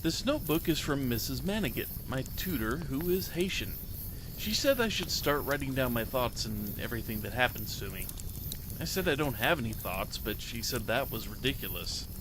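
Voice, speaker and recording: A man voicing an excitable, earnest ten-year-old boy reading his diary in a dramatic short story. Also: medium pitch, moderate pace, noisy background, close to an everyday voice. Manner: naive, childlike, eager phrasing.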